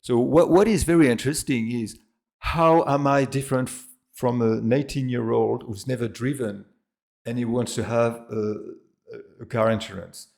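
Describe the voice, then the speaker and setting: A man's voice: mid-range voice, fairly even intonation, moderate pace, gravelly, darkish tone. A middle-aged man with a French accent talks casually to camera, hesitant and full of fillers and restarts. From France and Australia. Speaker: expat vlogger.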